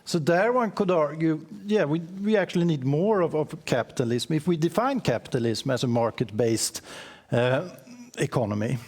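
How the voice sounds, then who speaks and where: A man speaking as a panelist from banking in a panel discussion, in a measured, reasoned way. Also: a little high, expressive, darkish tone.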